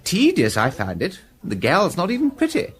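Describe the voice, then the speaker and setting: Aristocratic twit: upper-class British, slangy, languid, highish swooping voice, radio drama. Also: male voice, huge pitch swings, moderate pace, slight background noise. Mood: bored, languid.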